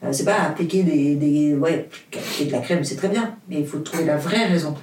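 A woman's voice: sits low, fairly quick, darkish tone, slight background noise. Woman, roomy distant sound. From Portugal. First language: Portuguese. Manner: hesitant, many fillers and restarts, earnest. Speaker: author, interview guest. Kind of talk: casual interview chat.

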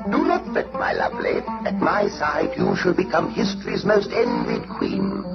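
High-pitched, creaky, imperious pharaoh villain. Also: male voice, huge pitch swings, normal speed, exaggerated voice. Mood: gloating. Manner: theatrical, commanding. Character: gloating tyrant pharaoh from the future, villainous, imperious. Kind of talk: 1960s-70s style superhero radio drama acting. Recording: dull lo-fi old radio, loud music behind.

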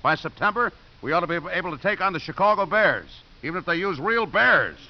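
A man's voice: sits high, animated, a little fast. An actor playing a brash, scheming football coach and devoted father in a wisecracking, sarcastic 1950s radio drama style. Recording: dull, noisy old radio recording.

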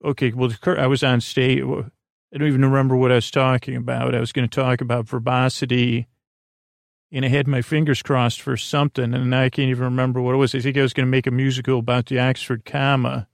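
A man hosting a bedtime podcast in a creaky, lulling voice, rambling hesitantly and drifting off into tangents. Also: mid-range voice, flat delivery, fast, dry recording, studio quality. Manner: hesitant, digressive, full of tangents and trailing thoughts. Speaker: podcast host. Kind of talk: rambling, meandering bedtime monologue.

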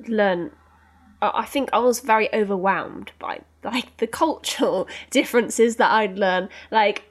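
A young woman from Bath hosting a casual podcast chat, reacting in an animated way and often restarting her sentences. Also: mid-range voice. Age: young adult.

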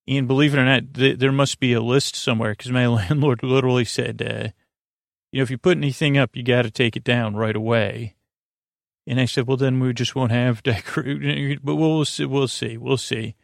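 An American man hosts a bedtime podcast in a creaky, gravelly voice at a middling pitch. He wanders through his monologue, halting and doubling back on his own sentences. The sound is close and dry. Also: steady tone, dry recording. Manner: meandering, halting, self-interrupting. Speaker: podcast host. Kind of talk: rambling sleep podcast monologue.

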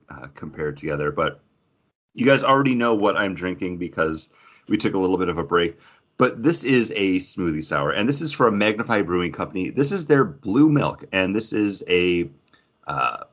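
A man with a very low voice chats casually as a podcast co-host, jokey and enthusiastic. His intonation swings widely, and the audio is slightly dull and band-limited. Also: fairly bright tone. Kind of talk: casual chat.

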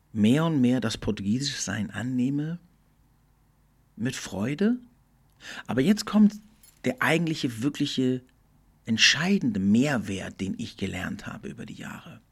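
A middle-aged man hosting a podcast, speaking in a thoughtful, earnest monologue that often slows and drops almost to a whisper. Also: medium pitch, creaky, slightly rough. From Germany. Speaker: podcast host. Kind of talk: reflective solo podcast monologue.

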